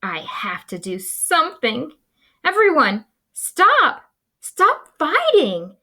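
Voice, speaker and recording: A woman puts on a little storybook prairie dog, earnest and bossy. The voice is high and urgent, swooping widely as it pleads and gives orders. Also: wildly swooping pitch, slow pace, pushed and bright, heavily acted. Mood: guilty moaning, then urgent shouting. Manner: pleading, commanding exclamations.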